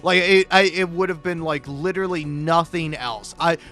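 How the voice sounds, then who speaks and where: Stammering, higher-voiced American man chatting casually. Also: moderate pace, pushed and bright. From Florida, United States. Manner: hesitant, stammering, repeats words, self-deprecating. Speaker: gaming video creator. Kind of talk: casual rambling chat over gameplay. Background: music.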